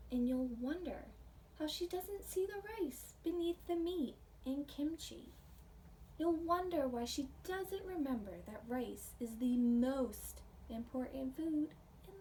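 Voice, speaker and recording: A woman preschool teacher reading a picture book aloud in a gentle, simple teacherly way, pausing to put questions to young listeners. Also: sits high. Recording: distant microphone, faint background noise.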